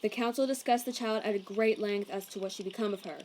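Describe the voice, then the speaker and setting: A woman voicing a haughty, prejudiced male envoy in an animated comic dub, stiff, officious and condescending. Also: medium pitch, fast, slight background noise, natural voice. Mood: cold, officious.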